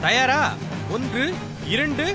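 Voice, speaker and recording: A woman's voice: high-pitched, slow. A woman voicing a brave, reassuring bird teacher in a children's cartoon, encouraging and commanding the flock. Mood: reassuring resolve. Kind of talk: children's cartoon story acting. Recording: loud music and crowd noise behind.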